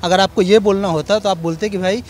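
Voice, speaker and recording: Emphatic, high-voiced Indian man arguing. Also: steady tone, fairly quick, slight background noise. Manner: emphatic, repetitive, argumentative. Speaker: member of the public giving opinion. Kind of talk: argumentative vox pop opinion.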